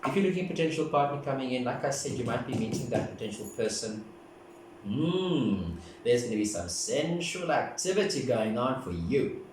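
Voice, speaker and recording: A man from Africa, giving a casual, gentle, meandering tarot reading and often repeating himself. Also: medium pitch, big pitch swings, normal speed. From South Africa. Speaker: tarot card reader. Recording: very echoey room, distant mic, background noise.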